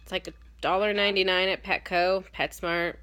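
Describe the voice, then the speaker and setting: A woman's voice: lowish voice, fairly even intonation, normal speed, noisy background. Stuffy-nosed woman chatting through a demo. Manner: chatty, rambling, with many ums and self-corrections. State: stuffed up with a cold. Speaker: parrot owner giving a training demo. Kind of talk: casual how-to demonstration.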